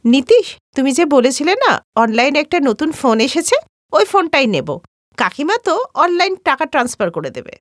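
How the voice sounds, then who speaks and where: A woman's voice: high-pitched, sing-song, moderate pace, no room sound, clean studio sound. A woman voicing a confident, cheeky young daughter-in-law in a cartoon story, chatty and cajoling. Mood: cheerful, triumphant.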